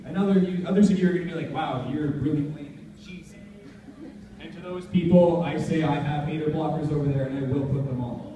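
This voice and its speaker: A young man giving an informal, chatty motivational talk to high school students, addressing the audience directly. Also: warm tone, muffled recording. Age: young adult. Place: large echoey room, before a live audience. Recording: very echoey room, distant mic, noisy.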